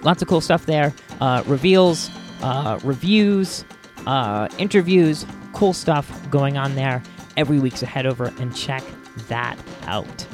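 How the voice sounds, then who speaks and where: An American man hosting a podcast, chatting casually to listeners in a halting, informal way and often interrupting himself. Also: on the high side. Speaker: podcast host. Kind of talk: casual solo chat to listeners. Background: faint music.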